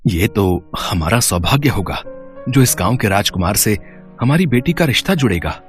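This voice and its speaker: A man's voice: lowish voice, lively intonation, normal speed, lightly acted. An actor playing a humble village father in a storybook tale, deferential and grateful, with music behind.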